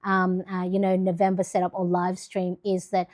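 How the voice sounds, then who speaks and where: A woman's voice: lowish voice, completely flat delivery, normal speed, darkish tone. A middle-aged woman from the Philippines chatting casually on a livestream, rambling with lots of fillers and restarts. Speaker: planner livestream host.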